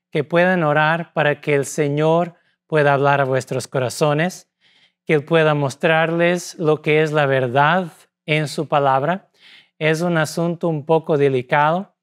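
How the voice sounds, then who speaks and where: A man from Portugal preaching a sermon in Spanish with a Portuguese accent, his second language, speaking deliberately and pausing between phrases. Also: fairly high pitch. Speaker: pastor.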